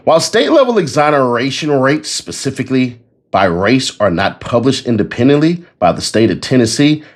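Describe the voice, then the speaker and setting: A man hosting a commentary video, talking casually and reading out information to his viewers. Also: mid-range voice. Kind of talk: casual commentary, reading out figures and sponsor copy.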